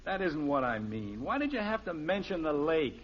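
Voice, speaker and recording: A man's voice: a little high, very animated, normal speed. An actor playing a grumbling, stubborn middle-aged American father, wry and exasperated, in a 1950s radio sitcom. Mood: wry, put-upon. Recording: muffled, faintly noisy old radio recording.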